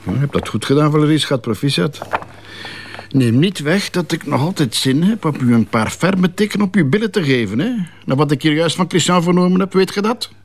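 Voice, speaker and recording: A man's voice: fairly high pitch, expressive, fairly quick. A coarse, scheming businessman. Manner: sardonic, coarse.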